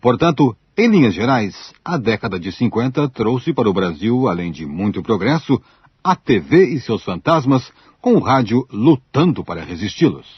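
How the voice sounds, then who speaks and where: A man's voice: mid-range voice, very animated, normal speed, husky, dry recording. A man with a Brazilian accent narrating a scripted radio documentary. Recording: dull, dry shortwave-era radio recording.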